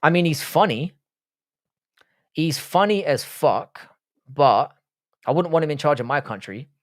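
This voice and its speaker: A man's voice: on the high side, animated, unhurried. A man hosting a casual livestream, reading chat aloud and bantering, swearing freely.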